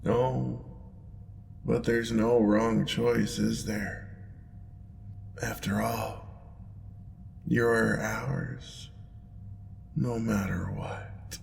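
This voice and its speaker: A man playing a smug, indulgent demon lord in an audio roleplay, with a deep gravelly voice and a playful, purring, drawn-out teasing manner. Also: flat delivery, full character voice. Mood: teasing, seductive. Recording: long reverb, clear background noise.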